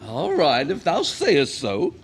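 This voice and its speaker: Man playing eager childish servant, husky, whiny, high, bouncy. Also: wildly swooping pitch, slow pace, heavily acted. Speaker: comic actor in an audio play.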